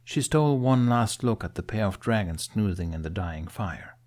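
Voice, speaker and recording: A man's voice: fairly low pitch, lively intonation, normal speed, darkish tone. A man reading scripted fantasy narration as an audiobook narrator.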